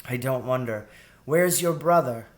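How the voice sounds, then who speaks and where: A man voicing a gentle, polite adult female mouse in a storybook, asking things patiently. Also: mid-range voice, unhurried, close to an everyday voice. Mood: gentle questioning. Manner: mild, patient, questioning. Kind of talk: storybook character voice in a read-aloud.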